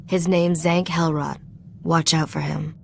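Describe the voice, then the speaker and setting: A woman's voice: deep voice, little pitch movement, measured pace, gravelly, a little hiss or hum. A woman playing a cool, confident smuggler's partner in a video game cutscene. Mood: cool, assured. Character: confident worldly young female smuggler's partner, cool and sly.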